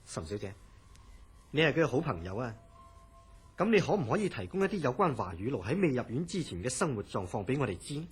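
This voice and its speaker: An actor playing a grave, courteous mental hospital director in a Cantonese horror radio drama, speaking deliberately and probingly in a husky voice with a Hong Kong accent. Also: male voice, medium pitch, very animated.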